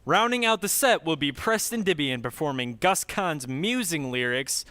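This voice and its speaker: A man's voice: a little high, lively intonation, moderate pace, pushed and bright, clean tone, no room sound. A man announcing as host of an old-time radio show in a scripted presenter style.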